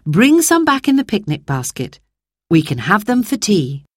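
A woman reading aloud and voicing a kindly mother in a storybook, with a gentle, motherly manner. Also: medium pitch, wildly swooping pitch, normal speed, no room sound, studio quality, voice pushed up.

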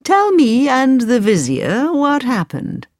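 A woman voicing an older sultan speaking gently and slowly to his unhappy daughter in a storybook reading. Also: sits high, sing-song, no echo, studio quality, pitched above the performer's own voice, exaggerated voice. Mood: concerned. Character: older sultan, concerned royal father.

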